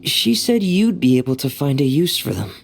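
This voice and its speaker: An actor voicing a gentle, earnest young boy diver in a video game, polite, subdued and a little hesitant. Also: mid-range voice, big pitch swings, normal speed, gravelly. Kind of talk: video game character dialogue. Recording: faint music behind the voice.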